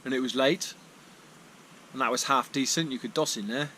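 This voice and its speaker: Relaxed man vlogging on a hike. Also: medium pitch, moderate pace, a little hiss or hum. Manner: relaxed, thinking aloud with fillers and repeats. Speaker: hiking vlogger. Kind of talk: vlog narration to camera. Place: outdoors on a walk, then sheltering in a barn. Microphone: action camera.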